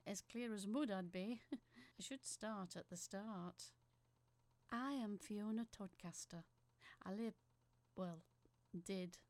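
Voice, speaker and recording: A woman's voice: mid-range voice, takes it slowly, pauses often, darkish tone, no room sound. A woman playing a chatty, apologetic working-class factory worker, telling her story as a rambling, wistful letter in an audio drama. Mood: chatty, self-deprecating. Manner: rambling, self-deprecating, wistful.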